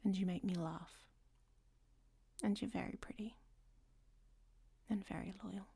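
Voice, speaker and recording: A woman's voice: mid-range voice, fairly even intonation, a little slow, vocal fry, dark tone, slightly rough, heavily acted. A woman playing a worldly, flirtatious traveler in an audio roleplay, speaking to the listener in a teasing, often half-whispered way. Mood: teasing tender.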